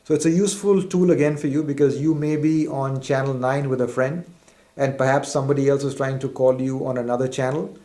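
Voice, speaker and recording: A man's voice: mid-range voice, steady tone, brisk, mellow. A man presenting an unscripted instructional walkthrough as a product demonstrator, explaining methodically in fairly formal wording.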